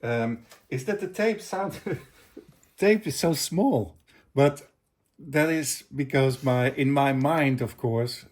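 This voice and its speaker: A middle-aged man chatting casually as a video host reviewing gear, with a hesitant, halting delivery. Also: medium pitch, darkish tone.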